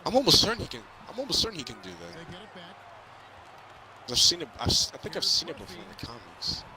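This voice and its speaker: A man's voice: fairly high pitch, huge pitch swings, fast, sharp tone. A young man in a casual fan chat about a movie, talking in a rambling, repetitive way with lots of filler "like". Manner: rambling, repetitive, heavy use of "like". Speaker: co-host of a movie review.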